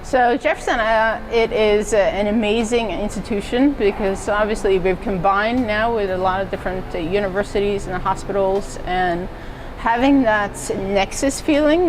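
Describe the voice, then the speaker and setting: A middle-aged woman from Norway, a university fitness and wellness director, answering interview questions in a relaxed, conversational way with frequent fillers. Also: mid-range voice, background noise. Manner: conversational, many "uh" and "so" fillers, laughs lightly. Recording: music playing underneath.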